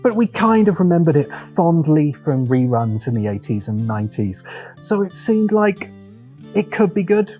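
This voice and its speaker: A man narrating a scripted video essay as host, in a deliberate, wry, opinionated manner with dramatic pauses and emphasis. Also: on the high side, big pitch swings, mellow, faint music behind.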